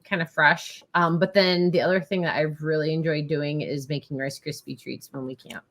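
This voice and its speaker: A woman's voice: low voice, fairly even intonation, moderate pace, a bit bright. A woman chatting casually as a podcast guest, a little tentative, often saying "like". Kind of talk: casual chat.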